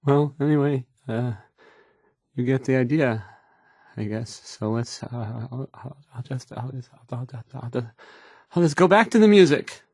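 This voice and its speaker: A man's voice: medium pitch, moderate pace, darkish tone, no echo, lightly acted. An actor playing a timid, awkward young male radio DJ in a video game, stammering, hesitant and apologetic. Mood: nervous, trailing off. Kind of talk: post-apocalyptic video game voice acting.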